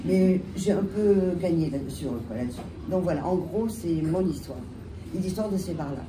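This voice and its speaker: A woman with a French accent and a head cold, telling her story on a panel with halting restarts. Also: fairly low pitch. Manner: halting, many restarts and fillers. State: has a cold. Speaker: bar owner, panelist. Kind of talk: panel talk, recounting her history. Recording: echoey room, distant mic, loud background noise.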